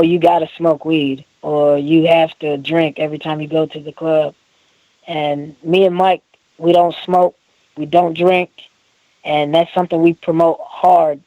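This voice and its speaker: A man's voice: fairly high pitch, dark tone, dry recording, rough recording. A man from Oklahoma, a rapper being interviewed, talking in a casual, earnest, slangy way. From Oklahoma, United States.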